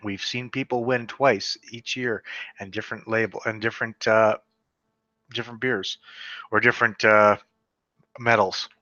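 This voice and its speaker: A man from western Canada talks through a list of beer awards in a loose, conversational way, with a bright, forward voice. He stumbles over unfamiliar brand names as he goes. The recording is dry and slightly dull. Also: on the low side, flat delivery, moderate pace, long pauses, no room sound. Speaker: beer reviewer. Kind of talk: casual solo video commentary reading award results.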